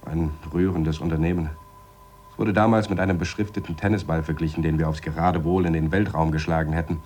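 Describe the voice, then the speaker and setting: An actor playing a matter-of-fact space lab astronaut in a radio drama, explaining in a measured, dryly sarcastic way. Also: male voice, rumbling low, steady tone, a little mellow, a little hiss or hum. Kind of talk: radio drama acting, spoken report.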